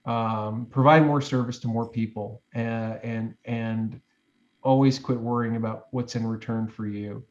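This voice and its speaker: A man's voice: mid-range voice, steady tone, unhurried, dull audio. A man in his forties, a guest giving advice in a reflective interview, talking in loose, rambling sentences with many fillers and restarts. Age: 40s.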